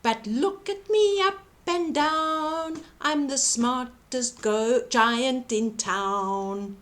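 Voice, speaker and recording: A woman's voice: sits high, very slow, a bit bright, full character voice. A woman voicing a kindly, proud, cheerful giant man from a children's storybook. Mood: proud singing.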